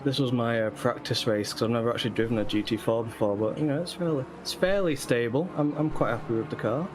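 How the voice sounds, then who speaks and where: A man who is racing while chatting on a voice call, relaxed and rambling, often chuckling. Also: mid-range voice, quick pace, slight background noise. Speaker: racing driver guest on voice call. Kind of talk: casual chat while racing.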